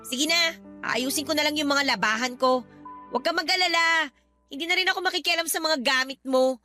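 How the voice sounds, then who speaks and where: A woman's voice: high-pitched, fairly quick, bright, forceful tone, faint music behind. An actress playing a defensive, sulky young woman in a radio drama, talking back in an aggrieved, sarcastic way. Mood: resentful. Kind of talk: Tagalog radio drama acting.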